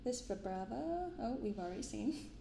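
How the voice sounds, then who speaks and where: A young woman speaking softly in an ASMR style, unhurried as she comments and reads aloud. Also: a little high, lively intonation, creaky, reverberant, background noise. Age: young adult. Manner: unhurried, hushed commentary and reading from cards. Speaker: host of a card-opening channel. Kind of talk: soft-spoken ASMR. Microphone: binaural microphone.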